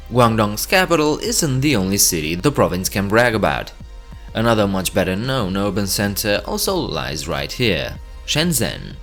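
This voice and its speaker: A low-voiced man reads an educational script with a lot of rise and fall in his voice. He breaks into chatty asides to the viewer now and then, with faint music behind him. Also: fairly bright tone. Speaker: channel host.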